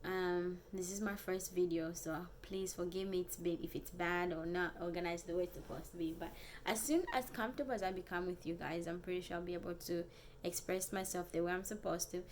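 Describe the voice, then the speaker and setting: A young woman from Africa with an African accent, a native Bemba speaker, chatting casually and earnestly to viewers in a vlog, haltingly and often repeating phrases. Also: fairly low pitch, steady tone, a little hiss or hum. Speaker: vlogger. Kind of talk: casual personal vlog chat.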